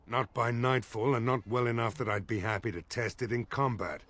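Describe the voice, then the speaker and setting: An actor voicing a weary, gruff village mayor in a video game, blunt and grumbling, with a gravelly, husky voice. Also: male voice, medium pitch, fairly even intonation, normal speed, fairly bright tone, natural voice. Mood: frustrated.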